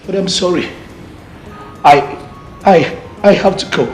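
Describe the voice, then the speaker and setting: An actor playing a tired, pained prince who wants to walk away from the throne. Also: male voice, mid-range voice, moderate pace, roomy sound, soft background music, lightly acted. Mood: anguished. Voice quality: earnest, pained. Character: weary prince ready to give up the throne.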